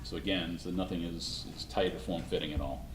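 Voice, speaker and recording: A man presenting a live costume-making demonstration at a convention panel, talking in a halting way with many restarts, his voice slightly hoarse. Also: deep voice, fairly even intonation, slight background noise. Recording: echoey room, mic far off.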